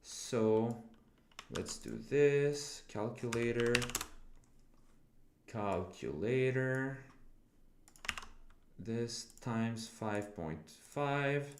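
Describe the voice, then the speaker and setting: A man's voice: medium pitch, very slow, long pauses, reverberant, background noise. A man in his twenties chatting casually on a live stream, rambling with lots of fillers and swearing as he answers his chat. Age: 20s. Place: home room.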